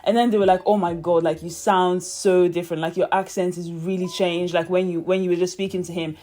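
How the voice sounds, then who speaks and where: A woman's voice: on the low side, little pitch movement, fairly quick, mellow. A young woman from Nigeria who speaks with a British accent, chatting casually and rambling on her vlog with lots of fillers and restarts. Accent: British, with a light Nigerian tinge. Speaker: YouTuber.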